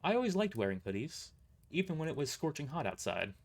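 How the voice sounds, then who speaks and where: A man narrating a first-person story aloud, reading a little haltingly and stumbling over words. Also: on the high side, big pitch swings.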